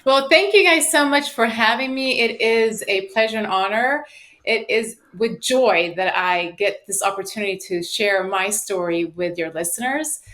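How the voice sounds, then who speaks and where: A woman in her late thirties speaks as a podcast guest. Her voice sits at a middle pitch. She tells personal stories in an animated, emphatic manner, acting out bits of dialogue. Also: moderate pace. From United States and Sweden. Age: late 30s. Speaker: podcast guest, sales professional. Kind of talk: personal storytelling in an interview.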